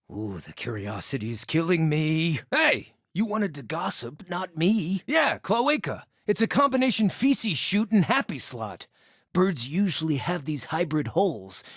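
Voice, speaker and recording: A cheeky, scarred little druid outcast from a fantasy game. The voice sits fairly high for a male and swoops with lively intonation. The phrasing is wordy, wry and theatrical, recorded close and dry. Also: dry recording, exaggerated voice. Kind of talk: video game character dialogue.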